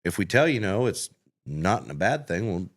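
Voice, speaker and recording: A man's voice: low-pitched, sing-song, normal speed, fairly bright tone. A man hosting a podcast who chats casually and eagerly promotes the show. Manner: enthusiastic, promotional. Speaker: podcast host.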